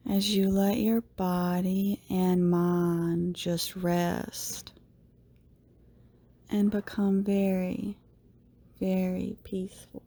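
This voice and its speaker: Very slow, soothing woman guiding meditation. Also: medium pitch, vocal fry. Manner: soothing, unhurried, hushed phrase endings with long pauses. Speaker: yoga teacher, meditation guide. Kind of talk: guided meditation narration.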